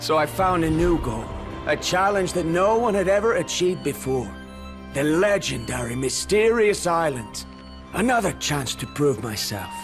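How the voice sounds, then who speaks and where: A proud old-time adventurer in an anime game. His fairly high voice moves expressively as he tells his tale with theatrical confidence, music clearly behind him. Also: very animated, normal speed. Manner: storytelling, theatrical, self-assured. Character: proud, adventurous legendary male guide recounting his past. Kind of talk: anime-style video game narration.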